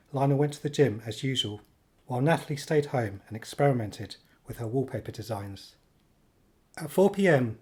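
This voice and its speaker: An actor narrating a radio play as a wry storyteller. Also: male voice, mid-range voice, a little mellow, close to an everyday voice.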